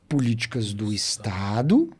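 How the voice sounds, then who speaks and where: A man in his thirties with a Minas Gerais accent, a podcast guest telling his story in an animated, talkative way. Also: on the low side, unhurried, slightly rough. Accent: Minas Gerais Portuguese. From Brazil. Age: 30s. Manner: chatty, animated storyteller who loves to talk. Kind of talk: casual storytelling interview.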